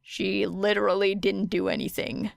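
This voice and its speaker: A woman's voice: medium pitch, flat delivery, moderate pace, gravelly, no room sound, studio quality. A woman voicing a tired, sullen teenage boy student who mutters in exasperation. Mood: exasperated.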